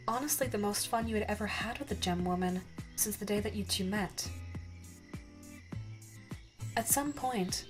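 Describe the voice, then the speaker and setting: A woman narrating a second-person ASMR roleplay story as a scripted storyteller. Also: medium pitch. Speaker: narrator and voice actor.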